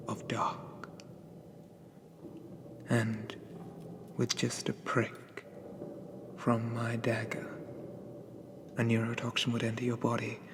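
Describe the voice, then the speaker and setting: A man voicing a sadistic, condescending dark elf captor in a breathy half-whisper, deliberate and softly menacing, for an ASMR roleplay. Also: medium pitch, natural voice. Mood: cold menace.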